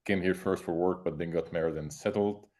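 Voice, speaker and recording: A man from Egypt, introducing himself casually on a video call in a halting way, searching for words. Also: deep voice, fairly even intonation, darkish tone. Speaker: meeting participant, software developer.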